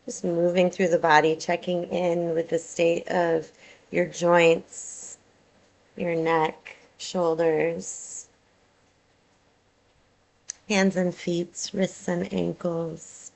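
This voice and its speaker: A woman teaching yoga nidra, guiding a meditation in a calm, soothing way with measured phrases and pauses. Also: lowish voice, vocal fry, poor audio. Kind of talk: guided meditation, soothing instruction.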